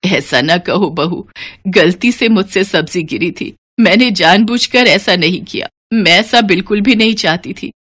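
A woman voicing a weary, meek old mother-in-law in a cartoon story, speaking gently and plaintively. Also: on the low side, measured pace.

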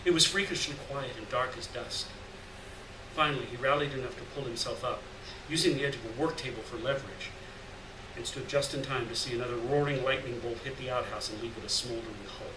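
A middle-aged man giving a dramatic, deliberate live reading of a literary story from a lectern. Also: medium pitch, steady tone. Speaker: reader of short fiction. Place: indoors at a lectern in a gallery room. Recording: roomy, distant, noisy live room recording.

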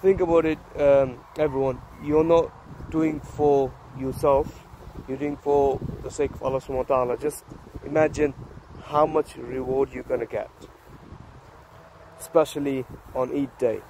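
Slightly hoarse guy in his 30s, phone vlog in a park, lots of uhs and restarts, windy noisy outdoor audio. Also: medium pitch, steady tone, dark tone. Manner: halting, many fillers and restarts. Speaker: vlogger. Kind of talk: casual selfie vlog. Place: open grassy park outdoors. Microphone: phone held at arm's length. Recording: outdoor phone audio with wind and traffic noise.

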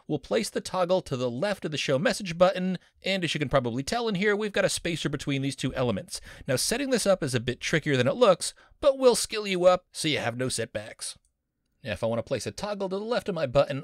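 A man's voice: on the high side, dry recording, clean studio sound. A man teaching a screen-recorded coding lesson as a university instructor, upbeat and playful with jokey wordplay.

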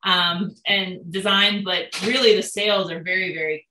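A woman's voice: fairly low pitch, measured pace, slight background noise. Young woman explaining on a roomy call. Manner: steady, explanatory, with frequent ums. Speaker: boat builder guest. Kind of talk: casual interview answers. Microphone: video call. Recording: roomy video call audio.

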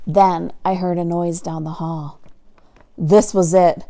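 A woman teacher reading a children's story aloud in a dramatic, expressive manner, drawing out key words for emphasis. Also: lowish voice, slow. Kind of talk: animated picture-book read-aloud.

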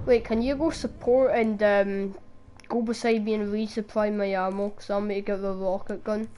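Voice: mid-range voice, little pitch movement, normal speed, mellow, clear, resonant tone. A young gamer with a sore throat, chatting casually while playing a shooter game, exclaiming at game events. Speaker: gamer streaming gameplay. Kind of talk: casual gameplay chat. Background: game gunfire and ambience.

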